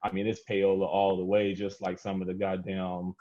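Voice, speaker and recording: A man's voice: sits low, completely flat delivery, normal speed, highs cut off, a crowd in the background. A man hosting a music podcast round table, speaking in a slangy, conversational way with casual swearing. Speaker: podcast host.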